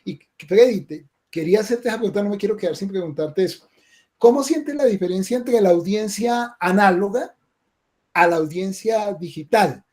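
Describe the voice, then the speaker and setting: A Colombian man hosting a webinar over a video call, a game streamer who chats casually and tags his remarks with quick asides. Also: high voice, a little mellow.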